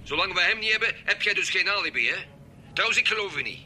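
An actor playing a gruff, bossy Flemish police inspector in a radio drama, talking colloquially and urgently. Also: male voice, high-pitched, animated, quick pace, sharp tone, a little hiss or hum, full character voice. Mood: stern, pressing. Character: gruff, commanding male police inspector leading a homicide squad.

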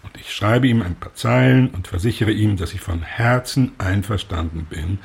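An actor playing a middle-aged husband and father in a period radio drama, dry, sardonic and measured, with a husky voice. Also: fairly low pitch, darkish tone, heavily acted. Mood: mock soothing.

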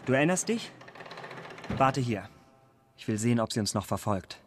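Actor as teasing brother in a dream, mid-pitched. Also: male voice, big pitch swings, normal speed, close to an everyday voice. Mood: alarmed, confiding. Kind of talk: radio drama dialogue. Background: music.

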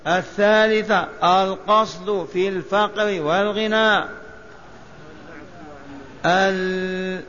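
A man from Algeria teaching as a religious scholar. He chants short memorized lists in a drawn-out, sing-song recitation and has his listeners repeat after him. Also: sits high, fairly even intonation, fairly bright tone. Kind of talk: lecture teaching by recitation and repetition. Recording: echoey hall, dull lo-fi sound, faint hiss.

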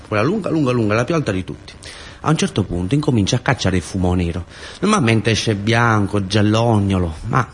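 A man's voice: lowish voice, a little hiss or hum. A man with a southern Italian accent from the Taranto area performs a colloquial narrative monologue, insistently repeating words and phrases. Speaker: actor-storyteller.

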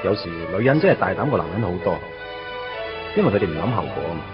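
Shy, awkward schoolboy, Hong Kong Cantonese, expressive lowish voice, radio drama with guitar behind, dull audio. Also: male voice, racing. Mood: nostalgic. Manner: hesitant, tongue-tied, earnest. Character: shy, awkward, lovestruck teenage schoolboy, narrating his first love. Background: guitar music.

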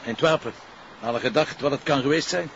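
Gruff, sardonic Flemish police commissioner, played by a man. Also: medium pitch, fast, fairly bright tone, close to an everyday voice. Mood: businesslike. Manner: gruff, dry, informal. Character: weary, sardonic male police commissioner leading an investigation. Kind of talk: naturalistic TV crime drama acting. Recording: dull, noisy location sound from television.

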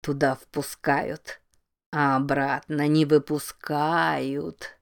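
A woman voicing the sinister, whispering voice of an ancient temple, speaking slowly with gloomy mockery. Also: low-pitched, level tone, gravelly, pitched below the performer's own voice, full character voice. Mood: gloating whisper. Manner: slow, mocking, gloomy whisper.

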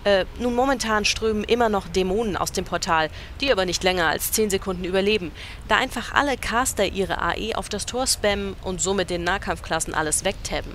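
Actress as a deadpan reporter, brisk and matter-of-fact. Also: female voice, mid-range voice, slight background noise, close to an everyday voice. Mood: dry. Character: dry, unimpressed female news reporter. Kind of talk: parody audio drama.

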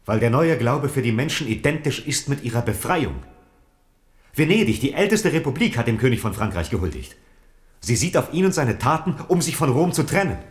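An actor playing an earnest, loyal Protestant envoy in a 1970s German radio play, with solemn declamation. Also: male voice, mid-range voice, sing-song, brisk, close to an everyday voice. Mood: fervent.

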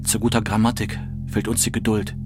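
Actor as a weary impassioned man, low voice, narrow intonation, emphatic literary declamation, music behind. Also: little pitch movement, brisk, lightly acted. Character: exhausted, desperate man declaiming impassioned postwar prose. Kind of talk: dramatic literary performance.

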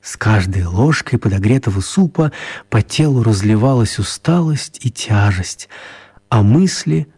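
A man narrating a children's radio story in a wry, confiding way, with expressive, deliberate phrasing and dramatic pauses. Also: on the low side, breathy edge, full character voice. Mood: dramatic storytelling.

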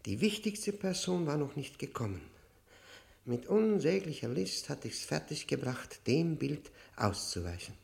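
A man's voice: fairly high pitch, big pitch swings, vocal fry, a little mellow, full character voice. Tormented, guilt-ridden man confessing. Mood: haunted, intense. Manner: halting, brooding, emphatic, repeats words. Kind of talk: theatrical German radio play monologue.

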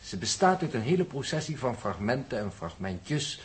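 An actor playing a polite, bookish space scientist in a 1960s Dutch radio play, explaining things in a formal, exact way with a Netherlands accent. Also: male voice, medium pitch, very animated, normal speed, lightly acted. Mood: calm, instructive. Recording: 1960s radio drama recording.

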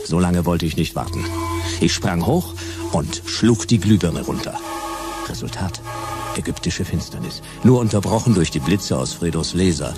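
A man playing a hard-boiled detective who narrates his case in laconic, deadpan, clipped phrases for a radio play. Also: deep voice, normal speed, over music. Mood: deadpan, dry. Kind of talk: noir first-person radio play narration.

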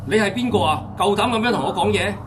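A man's voice: high-pitched, fast talker, noisy background, lightly acted. A man playing an irritable, blustering sports coach who talks indignantly, in a Hong Kong Cantonese radio drama. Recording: music behind the voice.

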